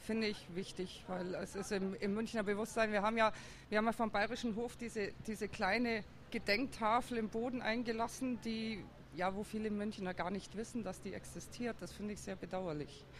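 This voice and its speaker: A woman's voice: mid-range voice, steady tone, normal speed, a little hiss or hum. Hesitant woman on a city square. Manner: thoughtful, hesitant with many fillers. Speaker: interviewee, district councillor. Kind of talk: street interview. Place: outdoors on a busy city square.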